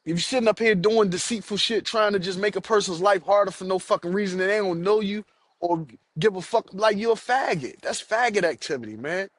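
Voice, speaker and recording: A man hosts his own podcast, ranting and cursing in an angry stream. His voice sits high for a man, and he stumbles over repeated words as he pushes his point. The sound is close and dry, with no room around it. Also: fairly quick, a bit bright, no echo. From United States. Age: adult, has children. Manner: angry, profane, repeats himself. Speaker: podcast host. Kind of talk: solo podcast rant.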